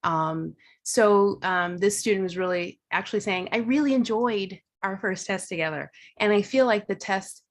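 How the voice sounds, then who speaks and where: A woman's voice: mid-range voice, normal speed, few pauses. A woman instructor presenting at an online teaching workshop, talking casually and thinking aloud, with fillers and restarts.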